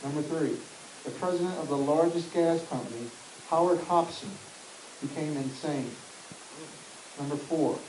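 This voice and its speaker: A man reading a text aloud in an even, steady way for another speaker. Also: on the high side, moderate pace, pauses often, echoey room, background noise. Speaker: reader for the speaker.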